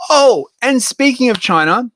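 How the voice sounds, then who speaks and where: A man's voice: very high voice, lively intonation, a little slow, a bit bright, no echo. An Australian man talking on a casual podcast, wordy and sarcastic with crude jokes. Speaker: podcast co-host.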